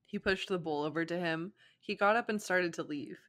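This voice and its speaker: A woman's voice: lowish voice, moderate pace, a bit bright. A young adult woman casually narrating fan fiction with banter, sounding amused and giggly.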